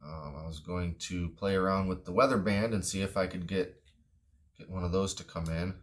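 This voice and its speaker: A man's voice: low voice, steady tone, normal speed. A man talking through a product in a casual, unscripted review, matter-of-fact and understated.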